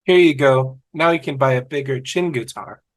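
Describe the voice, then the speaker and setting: A man's voice: mid-range voice, moderate pace, close to an everyday voice. A man reading a cheerful, dim, good-hearted young man in a sitcom table read. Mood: cheerful, earnest.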